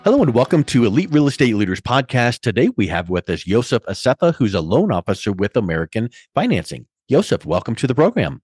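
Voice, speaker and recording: A man's voice: medium pitch, very animated, fast, hardly any pauses, darkish tone, husky, no echo, studio quality, faint music behind. A man from Virginia hosting a podcast interview, upbeat and chatty, often repeating words. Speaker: podcast host.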